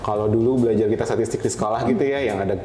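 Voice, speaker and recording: Young male guest, informal explainer, quick delivery, roomy sound with background crowd. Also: lowish voice. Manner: informal, explanatory, mixes in English terms. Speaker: guest, data scientist. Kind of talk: casual interview chat. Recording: roomy echo, crowd murmur behind.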